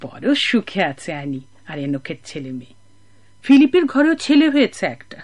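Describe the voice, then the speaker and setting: An actress playing a kindly, gossipy older village woman in a radio drama, telling things in a chatty, sympathetic, expressive way. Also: a little high. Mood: chatty, content.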